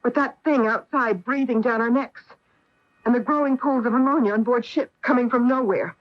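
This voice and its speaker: A woman's voice: fairly high pitch, moderate pace, no echo, natural voice. An actress playing an anxious, alert female astronaut in a 1980s radio drama, on a muffled old broadcast tape. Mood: fearful wondering.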